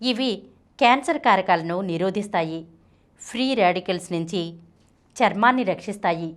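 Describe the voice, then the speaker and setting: A woman reading scripted health information as a channel narrator in a measured, clearly read-out way. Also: mid-range voice, expressive. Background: background music.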